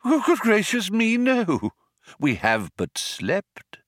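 Casting: kindly old alien gentleman played by a male reader, absent-minded, courteous, wistful, very melodic delivery, close dry sound. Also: medium pitch, big pitch swings, dry recording. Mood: mildly surprised. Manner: vague, unhurried, wistful, gently formal. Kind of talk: audiobook character voice.

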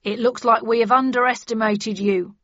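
A woman voicing a stern but kindly male official in an audiobook, with a brisk, commanding manner. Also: mid-range voice, fairly bright tone, no room sound, muffled recording. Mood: pleased, kindly. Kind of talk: audiobook character acting.

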